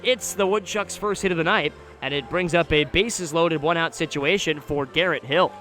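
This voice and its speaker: A man's voice: a little high, soft background music. An American man calling baseball play-by-play for radio, describing each moment in detail in a live outdoor broadcast. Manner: measured description, excited on big plays. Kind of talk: live sports commentary. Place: outdoor ballpark broadcast position.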